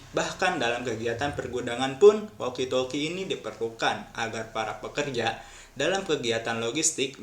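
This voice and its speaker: A young man reading a university class presentation in a formal, measured way. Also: mid-range voice, fairly bright tone, echoey room, slight background noise. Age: young adult. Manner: formal, measured, read from a script. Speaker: student presenter.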